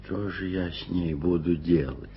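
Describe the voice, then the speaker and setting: A man's voice: deep voice, steady tone, a little slow, slight background noise. An actor playing a flustered older bachelor who blusters and stumbles over his words in a radio play. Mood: skeptical. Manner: blustering, then awkward and hesitant. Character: Peter Hope, a flustered, fussy older bachelor. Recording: dull vintage radio recording with music.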